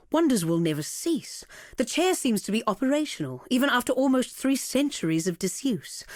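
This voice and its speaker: A woman playing a cold, imperious villainess and ruthless researcher in a video game, with a haughty, commanding and deliberate delivery. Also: mid-range voice, no echo, studio quality, lightly acted. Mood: disdainful, scheming. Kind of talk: scripted video game voice acting.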